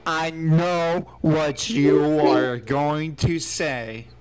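A man's voice: on the high side, steady tone, drawn-out words, a loud crowd. A young adult man joking casually with friends on a group video call. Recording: noisy video call audio.

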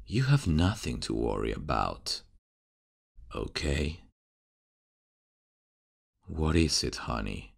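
A soothing father at bedtime, played by a man, in an ASMR roleplay. His voice is extremely deep and gravelly, he speaks very slowly, and his intonation moves lively up and down. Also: heavily acted. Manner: soothing and reassuring, calls the listener honey. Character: gentle caring father at bedtime.

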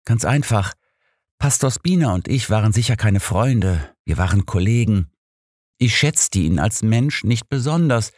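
A man voicing a devout, candid and measured male pastor in an audiobook. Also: fairly low pitch, lively intonation, darkish tone, no room sound, clean studio sound, pitched above the performer's own voice.

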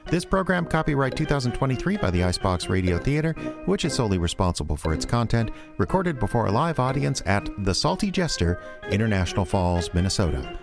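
A male announcer reads closing credits for a radio theater production. His delivery is animated, with wide swings in pitch, and music plays behind him. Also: fast talker, gravelly. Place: live stage before an audience.

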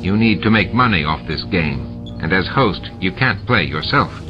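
A man voicing a stern, dry shapeshifting male security chief who talks in measured, sarcastic sentences. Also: sits low, animated. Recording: dull lo-fi with music behind.

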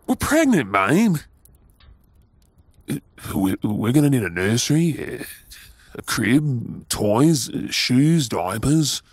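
An Australian man playing a tender, doting young husband in an intimate ASMR audio roleplay, with a soft, breathy voice. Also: mid-range voice, very animated, slow pace, long pauses, no room sound, full character voice. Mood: elated, excited.

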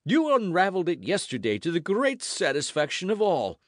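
A man voicing a boastful, loud musketeer in an audiobook, theatrical and full of exclamations. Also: sits high, wildly swooping pitch, moderate pace, dry recording, clean studio sound, pitched above the performer's own voice. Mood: indignant, scolding. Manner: theatrical, exclamatory, swooping emphasis. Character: boisterous, boastful swaggering musketeer, loud and self-satisfied.

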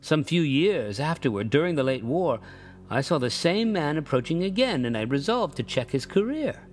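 A man's voice: on the high side, big pitch swings, normal speed. A man voicing a stubborn male toll-gatherer who tells a tall tale in a storybook reading.

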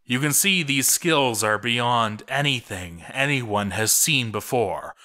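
Man, scripted narration, bright forceful voice, mid pitch, theatrical emphasis, deliberate read-aloud. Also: expressive. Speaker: narrator reading a written game chronicle aloud.

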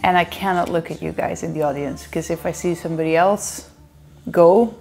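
Playful Dutch woman, fairly low voice. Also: steady tone, normal speed, a little mellow. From Netherlands. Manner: chatty, playful, laughs often. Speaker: singer answering fan questions. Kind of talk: casual chat to camera. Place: indoor living room, seated on a sofa.

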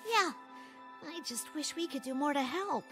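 A woman voicing a feisty, caring young hedgehog girl in a video game, expressive and sassy with an American accent. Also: sits high, moderate pace, over loud music. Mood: concerned. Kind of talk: video game cutscene acting.

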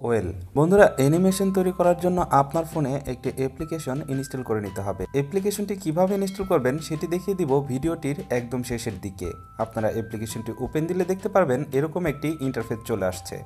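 A man's voice: a little high, lively intonation, fast talker, dark tone, soft background music. A young man hosting an explanatory tutorial in a step-by-step, instructional way. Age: young adult. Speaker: tutorial host.

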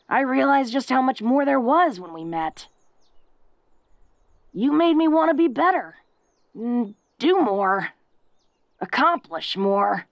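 A woman playing a tough but bashful, devoted orc girlfriend in an intimate audio roleplay, talking in an awkward, halting, self-deprecating way. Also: a little high, gravelly, dry recording, clean studio sound, heavily acted. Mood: earnest, tender.

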